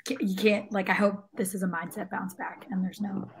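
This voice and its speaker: A woman chatting casually about sport as a panelist, emphatic but often halting as she hunts for words. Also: medium pitch, little pitch movement, creaky. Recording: faint background noise.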